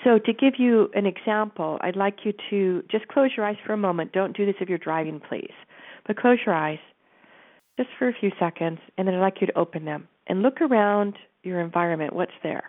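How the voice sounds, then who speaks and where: A woman with a stuffy nose, calmly guiding listeners through an exercise from her office and speaking directly to them. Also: medium pitch, brisk. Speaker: host and coach. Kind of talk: guided teaching talk. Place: home office. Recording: narrow phone-like line.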